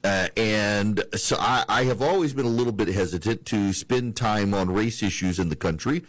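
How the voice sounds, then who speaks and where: A man's voice: lowish voice, normal speed, dry recording. A man from the American South hosting a political talk radio show, talking in a rambling way with many restarts.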